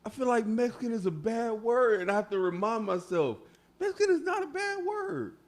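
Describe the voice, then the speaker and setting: A man's voice: very high voice, lively intonation, fairly quick. A man from Florida co-hosting a podcast, chatting casually and reacting to his co-host with short replies. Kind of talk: casual chat.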